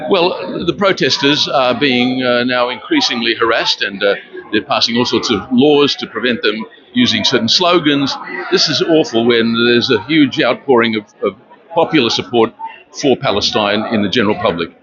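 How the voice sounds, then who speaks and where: An older man born in Romania, giving an earnest street interview at an outdoor protest, speaking haltingly with many fillers. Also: mid-range voice, a crowd in the background. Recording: dull lo-fi with loud outdoor noise.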